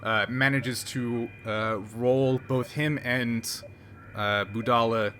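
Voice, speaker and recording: A man's voice: mid-range voice, fairly even intonation, slow pace, fairly bright tone, a little hiss or hum. A man running a tabletop game as game master, reading rules aloud with many fillers.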